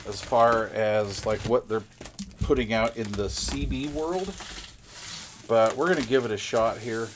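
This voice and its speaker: A man's voice: medium pitch, background noise. A man giving casual unboxing commentary as a product reviewer, in a rambling, folksy, conversational way.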